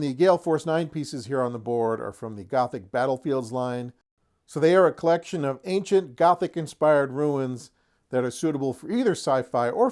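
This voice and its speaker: Middle-aged male host, mid-pitched voice, folksy and wry, casual showcase delivery. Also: a little mellow. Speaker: host of a tabletop wargaming channel. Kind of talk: casual product showcase to camera.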